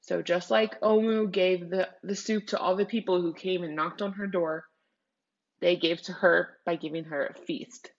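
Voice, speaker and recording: A woman's voice: fairly low pitch, fairly even intonation, moderate pace, highs cut off. A woman teacher doing a read-aloud lesson for children.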